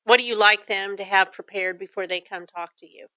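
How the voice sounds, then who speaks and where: Bright, smooth woman radio host, Texan. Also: mid-range voice, steady tone, a little fast, dry recording, dull audio. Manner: affirming, asks leading questions. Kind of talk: radio interview. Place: radio studio.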